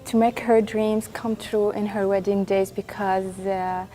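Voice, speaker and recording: A young woman whose first language is Arabic speaks slowly in English and searches for her words. Her voice is mellow and warm, and her tone stays flat. She pauses often to think. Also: mid-range voice. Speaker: bride-to-be interviewee. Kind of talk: casual interview answers. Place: hotel meeting room, seated at a table.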